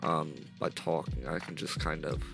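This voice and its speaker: A man's voice: a bass voice, steady tone, normal speed, vocal fry. A man streaming live as he builds a game model, chatting casually and rambling with constant self-corrections, his voice a little stuffy through a headset mic. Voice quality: slightly stuffy. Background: soft jazz music playing.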